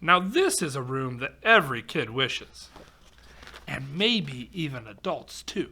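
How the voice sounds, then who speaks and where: A man's voice: mid-range voice, sing-song, a little slow, sharp tone, slight background noise. A man reading a rhyming picture book aloud to children, expressively, with exclamations and chatty asides. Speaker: volunteer storybook reader for children.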